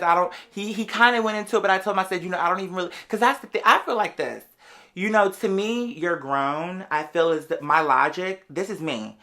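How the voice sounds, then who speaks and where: A chatty vlogger with a bright, sharp voice at a middling pitch, spinning out a personal story for followers. The delivery is casual and sassy, full of slang and wandering side remarks. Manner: rambling, digressive, sassy slang, calls everyone "girl".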